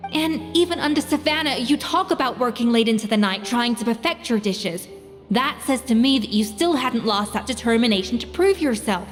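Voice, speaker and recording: A woman acting a proud master chef from a video game, self-assured and exacting. Her voice sits a little high and her diction is formal and precise. It rings with long reverb over background music. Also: moderate pace, close to an everyday voice. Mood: firm, composed.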